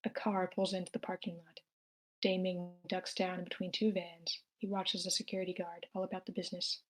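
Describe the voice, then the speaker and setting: A woman's voice: fairly low pitch, flat delivery, fairly quick. A woman narrator reading screenplay stage directions aloud.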